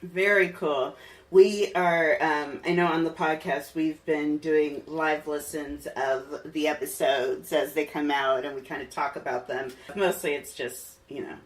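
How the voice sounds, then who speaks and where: A woman's voice: sits low, fairly even intonation, measured pace, distant mic. A woman hosting a casual podcast interview in an informal, enthusiastic way.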